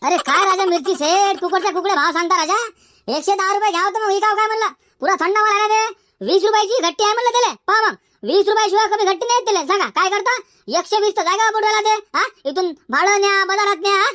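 A performer voicing a haggling, bantering cartoon chilli-market trader, squeaky and folksy, in comedic dialogue. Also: fast, pushed and bright, no echo, clean studio sound. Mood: firm haggling. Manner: folksy, insistent bargaining. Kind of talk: comedic cartoon dialogue in colloquial rural Marathi.

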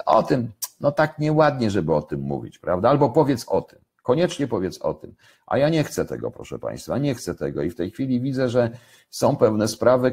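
Older guy in his 60s from poland, low raspy voice, talking politics live off the cuff, keeps repeating himself for emphasis. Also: a little mellow. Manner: deliberate, halting, repeats phrases for emphasis. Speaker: commentator answering viewers' questions. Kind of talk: live unscripted political commentary. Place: indoors, home kitchen.

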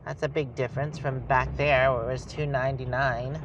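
A man's voice: medium pitch, flat delivery, a little fast, lo-fi sound. A man hosting a casual travel vlog, chatting and thinking aloud as he drives, recorded inside a vehicle with road noise. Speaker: host.

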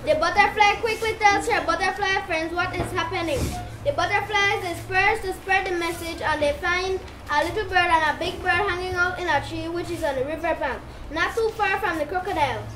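A woman's voice: very high voice, a little fast. A female narrator reading a scripted story for an amateur puppet show. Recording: roomy, noisy live puppet show.

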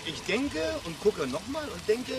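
A man's voice: high voice, big pitch swings, a little slow, rough recording. An actor playing a fussy, rambling amateur cameraman in a radio play. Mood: fussy, chatty. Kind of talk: German radio play acting. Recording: busy crowd and outdoor noise.

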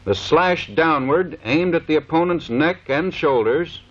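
A man's voice: a little high, unhurried. A man giving scripted, matter-of-fact instructional narration for a training film. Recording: dull lo-fi 1930s film soundtrack.

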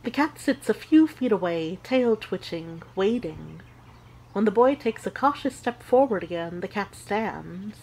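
A woman playing a witch who narrates an audio drama with measured, deliberate storytelling. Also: medium pitch, lively intonation, darkish tone, breathy edge, low-quality recording.

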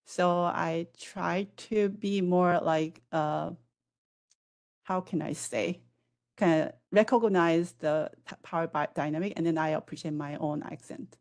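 A woman in her forties speaks through a headset on a video call, her non-native English accent clear in every phrase. Her voice sits a little low, and she talks in a measured, reflective way. She pauses and uses fillers as she works out each thought. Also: darkish tone. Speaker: panelist. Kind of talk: conference panel answer.

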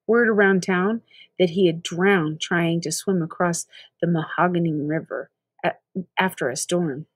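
A woman's voice: on the low side, a little slow, no room sound. Older American woman reading a storybook aloud. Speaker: storybook reader. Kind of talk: expressive read-aloud of a children's novel.

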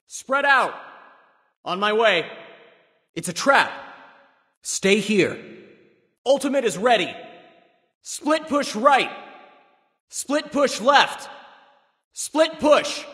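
A proud warrior sage god from a video game, with a high, bright and forceful male voice. He delivers short, commanding callouts at an unhurried pace, crisply and theatrically. A long reverb trails behind his words. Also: animated, full character voice.

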